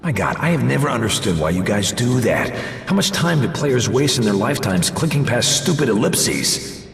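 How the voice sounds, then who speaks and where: An actor playing a cocky, wisecracking macho action hero in a video game, with a wry, exasperated manner. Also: male voice, mid-range voice, sing-song, moderate pace, vocal fry, reverberant, big-room sound. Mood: exasperated, sarcastic. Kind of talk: video game cutscene acting.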